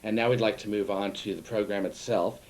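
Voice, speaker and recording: A man's voice: fairly low pitch, fairly even intonation, brisk, a little mellow. A man co-hosting a community cable show, reading introductions with dry joking asides. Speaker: co-host. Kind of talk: casual studio chat. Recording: old analog tape with faint hiss.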